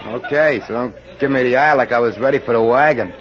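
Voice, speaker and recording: A man's voice: mid-range voice, fast, lightly acted. Pleading small-time crook played by a man, defensive and slangy, muffled vintage radio, crowd in back. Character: whiny, defensive male small-time street hustler. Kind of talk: old-time radio crime drama acting. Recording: muffled old radio recording, crowd behind.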